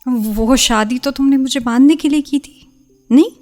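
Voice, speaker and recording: Actress as an anguished young woman, high-pitched. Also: moderate pace, darkish tone, soft background music, natural voice. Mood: hurt, defensive. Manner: pleading, defensive. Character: anguished young woman torn between lover and parents. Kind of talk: Hindi radio play acting.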